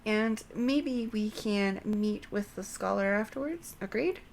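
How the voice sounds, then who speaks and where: Earnest young game scholar read by a woman, formal and wordy, slightly creaky, faint noise. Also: medium pitch, slow. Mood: earnest, urgent.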